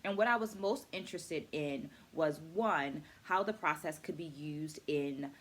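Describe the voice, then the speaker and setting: A middle-aged woman with a slightly low voice hosts a reading vlog. She speaks conversationally to the viewer, laying out each point in a measured, explanatory manner, much like a teacher. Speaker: host of a book-takeaway video series. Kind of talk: conversational book review to camera.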